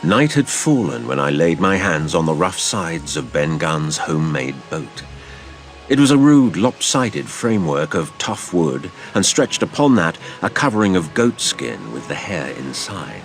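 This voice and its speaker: A man narrating a dramatised adventure story in a theatrical, measured storytelling manner. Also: sits low, big pitch swings, faint music behind.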